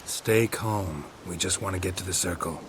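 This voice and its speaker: An actor playing a weathered, calm older monster hunter in a video game, terse, deadpan and sardonic. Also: male voice, deep voice, moderate pace, background noise, natural voice. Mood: calm, firm. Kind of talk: video game character acting. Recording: loud music bed under dialogue.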